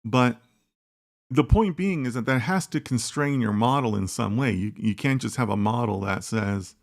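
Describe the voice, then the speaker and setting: A man's voice: mid-range voice, normal speed. A man hosting a casual data science livestream, thinking aloud in a rambling, conversational way and tagging his points with "right?". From United States. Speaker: host of a live data science chat. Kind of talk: casual livestream chat reading viewer comments.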